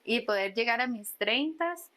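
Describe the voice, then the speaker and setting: Bright-voiced young Costa Rican woman chatting. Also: fairly high pitch, lively intonation, unhurried. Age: early 30s. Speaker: podcast host. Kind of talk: casual conversational podcast chat.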